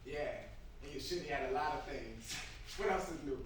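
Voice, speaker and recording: A man's voice: fairly high pitch, normal speed, natural voice. A man acting a restless younger brother in a staged reading, with slangy, hesitant, playful delivery. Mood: reflective, teasing. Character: Franklin, restless younger brother just out of jail. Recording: very echoey room, distant mic, noisy.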